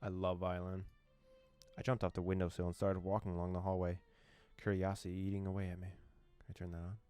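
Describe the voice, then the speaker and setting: A man with a low voice hosts a video game playthrough. He moves between reading story text aloud and casual, slangy commentary, with faint music behind him. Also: level tone, quick pace, warm tone, clear, resonant tone. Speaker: gaming channel host.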